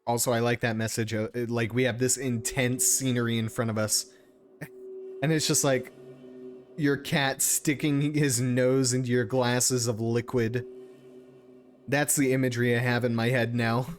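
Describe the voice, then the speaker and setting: A man's voice: medium pitch, level tone, normal speed, faint music behind. A man doing casual live-stream game commentary, irreverent, sweary and easily distracted. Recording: faint background noise.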